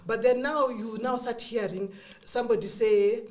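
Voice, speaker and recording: A woman's voice: fairly high pitch, fairly even intonation, moderate pace, a little mellow. A middle-aged Kenyan woman with a Kikuyu first language, talking candidly as a guest, laughing often and restarting her sentences. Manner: earnest, frequent laughs and restarts. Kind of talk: candid conversational chat.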